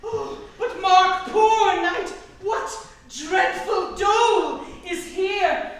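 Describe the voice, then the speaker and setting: A woman playing a melodramatic male tragic lover in an amateur staged Shakespeare reading, declaiming in a drawn-out, overblown way. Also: very high voice, full character voice. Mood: horrified grief. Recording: echoey room from far off, faint noise.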